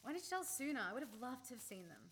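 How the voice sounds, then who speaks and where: A woman's voice: sits high, sing-song, racing, fairly bright tone, exaggerated voice. An actress playing an impulsive, playful young woman in a radio play recorded live. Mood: curious, warm. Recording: live recording with clear background noise.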